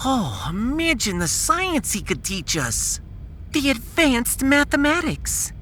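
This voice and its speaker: A man's voice: very high voice, very animated, slow, fairly bright tone, a little hiss or hum, pitched above the performer's own voice, full character voice. A man voicing an earnest, thoughtful starship scientist in a science fiction audiodrama. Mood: eager.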